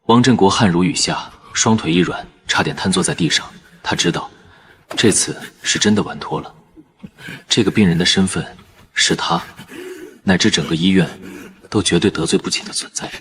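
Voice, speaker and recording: A man narrating in the first person as a calm surgeon, in measured scripted narration. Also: low-pitched, a little hiss or hum. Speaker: narrator and lead character, a surgeon.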